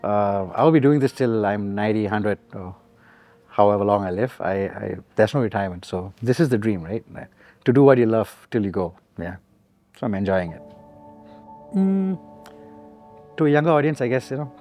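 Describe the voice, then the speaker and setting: A musician in his forties from Singapore, speaking. He gives relaxed, reflective interview answers and laughs often. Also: medium pitch. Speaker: musician guest.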